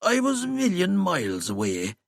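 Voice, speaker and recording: A man voicing an eccentric, rambling old man with a thick Kerry Irish accent, drawling slowly and whimsically. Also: high-pitched, huge pitch swings, creaky, no room sound, clean studio sound, pitched above the performer's own voice, exaggerated voice.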